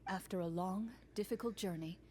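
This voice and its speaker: A woman's voice: on the low side, moderate pace, darkish tone, slight background noise, natural voice. A woman voicing a composed, serious female game master who narrates a tabletop adventure in a video game.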